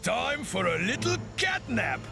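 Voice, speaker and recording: An actor playing a gloating theatrical villain in a superhero cartoon game, with mocking, drawn-out delivery. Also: male voice, very high-pitched, very animated, creaky, music in the background, full character voice.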